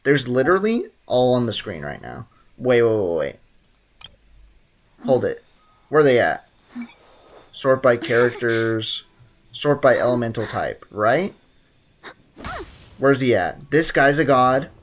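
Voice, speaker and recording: A man's voice: mid-range voice, sing-song, measured pace, pauses often. A man streaming a video game, casually rambling, joking and chatting with viewers. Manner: rambling, joking, talks to chat. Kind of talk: casual gameplay commentary.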